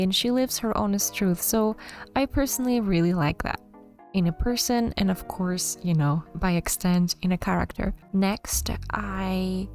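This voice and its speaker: A woman's voice: medium pitch, a little mellow. A woman hosting a casual commentary video, talking to viewers in an informal, thinking-aloud way. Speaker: video host giving game character analysis. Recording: music playing behind the voice. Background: background music.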